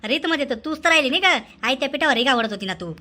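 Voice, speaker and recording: High, bright scolding woman. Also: animated. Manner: scolding, exclamatory, drawn-out theatrical delivery. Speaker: cartoon voice performer. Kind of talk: animated comedy dialogue in colloquial Marathi.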